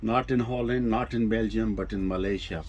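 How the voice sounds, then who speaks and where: A man giving a casual, hands-on product demonstration, explaining things informally in a halting, hesitant way. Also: on the low side, fairly even intonation, darkish tone, highs cut off. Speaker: presenter of a vintage audio gear demo.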